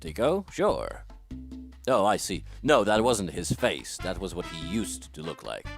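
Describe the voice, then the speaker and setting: A man's voice: low voice, sing-song, measured pace, creaky, soft background music, exaggerated voice. A man playing a brash, bossy, sardonic columnist in a voiced comic, with a commanding, worldly manner. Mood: sardonic, assured. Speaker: voice actor. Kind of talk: voiced comic book dialogue.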